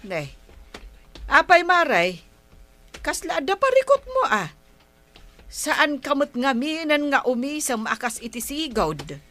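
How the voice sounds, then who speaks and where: A woman's voice: high voice, very animated, slow, pauses often, sharp tone, no echo, full character voice. A woman playing a nosy, teasing village neighbour in a comedic drama, chatty and questioning, with an Ilocano accent. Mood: chatty, curious. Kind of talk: comedic radio-style drama acting.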